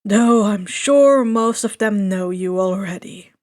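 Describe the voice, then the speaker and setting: A woman voicing a tired, laid-back male hero teacher in an audio story, with a deep, slow, professional delivery. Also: a little mellow, close to an everyday voice. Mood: businesslike.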